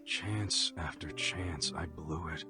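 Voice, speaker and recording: A male actor voicing a weary, gentle, remorseful dog ex-convict in a video game, subdued and resigned. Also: low voice, takes it slowly, exaggerated voice. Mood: remorseful, defeated. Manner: resigned, subdued, brooding delivery. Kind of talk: English video game voice acting. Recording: loud background music.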